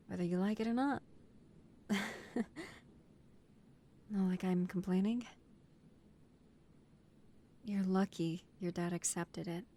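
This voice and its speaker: A woman's voice: fairly low pitch, warm tone, slight background noise. A woman playing an earnest, devoted new mafia recruit and loving partner in a romantic audio roleplay. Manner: earnest, emotional, polite to superiors.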